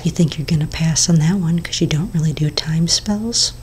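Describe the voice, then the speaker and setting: A woman whispers close to the mic in a low, hushed voice, unhurried and soft. Faint hiss sits under her words in a small indoor room. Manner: whispering, slow and gentle, with fillers. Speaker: ASMR roleplay potion shopkeeper. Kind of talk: ASMR whispered roleplay. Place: small indoor room before shelves of bottles.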